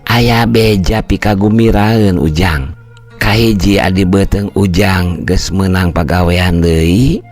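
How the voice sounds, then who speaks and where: A plain-spoken elderly mother, as an older man storyteller reads her lines in his own low voice. She talks in a practical, unhurried way, and her tone stays calm and deep. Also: faint music behind.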